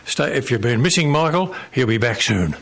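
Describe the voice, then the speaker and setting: A man's voice: mid-range voice, animated, moderate pace, gravelly. A man making informal live radio announcements in a rambling, offhand way. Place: radio studio with live audience.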